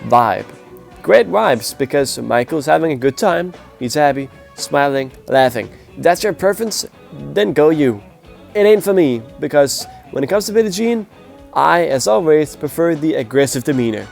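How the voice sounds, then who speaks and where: A man's voice: on the high side, animated, moderate pace, vocal fry, a little mellow, faint music behind. A man with a sick, nasal voice giving a blunt, opinionated casual review. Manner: blunt, opinionated, grades with scores. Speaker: music performance reviewer. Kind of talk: casual spoken video review.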